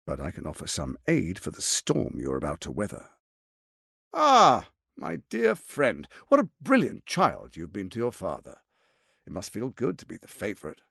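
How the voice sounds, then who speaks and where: An actor playing a scheming, arrogant middle-aged tyrant lord in a video game, with a pompous, silky, menacing delivery. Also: male voice, low-pitched, wildly swooping pitch, moderate pace, vocal fry, fairly bright tone, breathy edge, no room sound, studio quality, full character voice. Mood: smug, silky. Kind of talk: theatrical video game voice acting.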